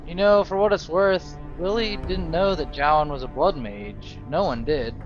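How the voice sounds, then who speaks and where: A man's voice: on the high side, moderate pace. A man role-playing over a video game, giving tentative thoughts and reactions. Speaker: gamer, role-player. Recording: dull voice-over with game music. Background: faint game music.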